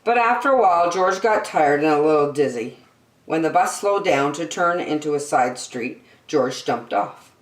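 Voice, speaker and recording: A woman hosting story time and reading a children's picture book aloud in a deliberate, expressive way for young listeners. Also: low-pitched. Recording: echoey room, distant microphone.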